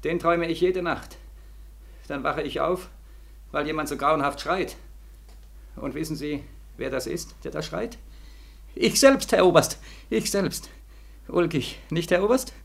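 An actor playing a bitter, sleepless young war veteran in 1940s German radio drama style, feverish and sardonic, repeating himself between weary murmurs and anguished cries. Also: male voice, on the high side, a little slow, creaky, pauses often. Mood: haunted, intense.

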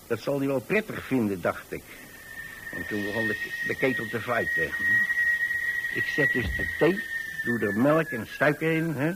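An actor playing a chatty, easily flustered old pensioner who tells his story in a hesitant, rambling way, repeating words. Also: mid-range voice. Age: older, retired. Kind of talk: radio monologue storytelling. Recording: hissy old radio recording.

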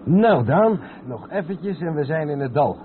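An actor playing a calm, knowledgeable truck driver who explains patiently in a radio play. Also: male voice, fairly high pitch, a little slow. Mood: calm, instructive. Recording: tinny noisy old radio play recording.

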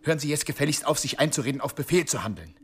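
An actor playing a stern, insistent police official in a radio crime drama, officious and emphatic. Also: male voice, a little high, expressive, very fast, a bit bright, slightly rough. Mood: indignant, scolding. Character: stern, insistent male police doctor confronting a killer.

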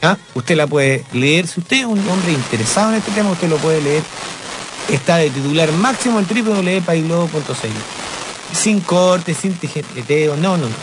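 A man's voice: on the high side, animated, moderate pace, noisy background. A man hosting a radio show, reading news and statements aloud between jokes with his co-host. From Chile. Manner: jokey, reads statements aloud with banter.

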